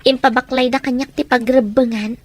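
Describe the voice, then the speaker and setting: Woman acting young Filipino woman, high-pitched, plaintive, sorrowful, burdened, radio-style melodrama. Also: fairly even intonation, a little fast. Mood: subdued, sad. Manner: plaintive, emotional.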